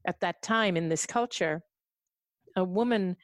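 A woman from Hong Kong, a radio interview guest, telling her life story in a thoughtful way with frequent pauses and restarts. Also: on the low side, dry recording, clean studio sound. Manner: thoughtful, many fillers and restarts. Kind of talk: conversational storytelling.

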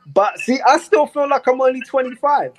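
A man's voice: very high voice, moderate pace, a little hiss or hum. A man in his forties talking casually and earnestly in a remote conversation, rambling with restarts and slang. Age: 40s.

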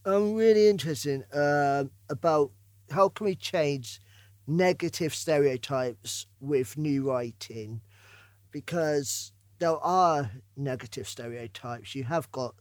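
Higher-pitched man hosting a casual podcast. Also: takes it slowly, no room sound. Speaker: podcast host, interviewer.

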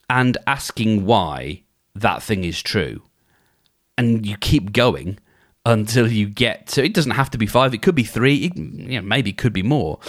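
A man hosting a solo advice podcast, thinking aloud in a conversational way and often restarting his sentences. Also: medium pitch. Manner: conversational, thinks aloud, acts out inner dialogues; frequent restarts and filler phrases. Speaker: podcast host.

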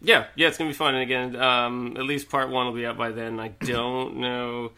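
Bright-voiced man chatting loosely. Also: medium pitch, flat delivery, normal speed, clear, resonant tone. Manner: rambling, lots of fillers and restarts. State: lingering cough. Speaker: podcast guest. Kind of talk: casual chat.

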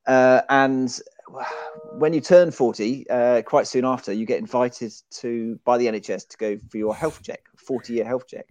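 A man's voice: mid-range voice, fairly even intonation, normal speed, darkish tone, dry recording, muffled recording. A man, a podcast guest who talks in a chatty, self-deprecating way and often restarts his sentences. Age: 40s. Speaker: guest, author and runner. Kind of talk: casual podcast conversation.

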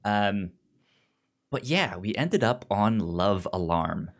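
A man chats casually as a podcast co-host, taking his time over each thought. His delivery is slow and halting, with frequent stammered restarts on words and sounds. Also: fairly low pitch, animated. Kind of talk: casual chat reviewing a drama series.

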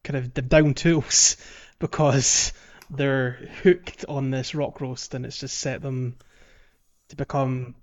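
A man co-hosting a casual gaming podcast, talking in a halting way with thoughts that trail off. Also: mid-range voice, little pitch movement, lo-fi sound. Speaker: podcast co-host.

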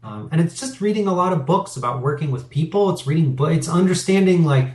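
A man's voice: on the high side, expressive, fast talker, a little mellow. Middle-aged male interview guest, candid and reflective, roomy echo. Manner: candid, reflective, rambling with fillers. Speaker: interview guest, business owner. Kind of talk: casual interview talk.

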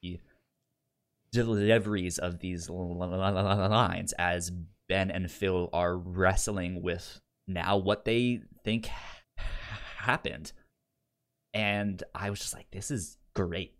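Halting, enthusiastic man podcast host. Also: sits low, steady tone. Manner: halting, stammers and repeats syllables; enthusiastic about the material. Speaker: podcast co-host reviewing fiction. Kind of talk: casual review chat.

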